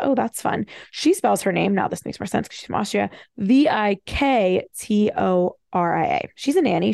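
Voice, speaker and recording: A woman hosting a solo podcast, talking fast and casually with wry, animated asides. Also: medium pitch, vocal fry, dry recording, studio quality. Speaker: podcast host. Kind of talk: casual solo commentary, reading bios with asides.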